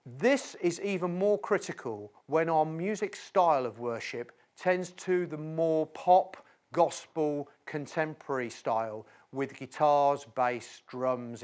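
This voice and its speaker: A middle-aged man explaining things as an instructor in a video tutorial, with a measured, emphatic, articulate delivery. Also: on the high side. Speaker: instructor, presenter.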